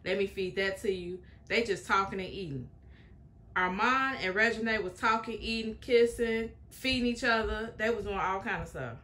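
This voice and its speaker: A woman's voice: medium pitch, normal speed, bright, forceful tone. A young woman hosting a reaction video, chatting frankly and opinionated to her viewers, with in her speech. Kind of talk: casual reaction commentary.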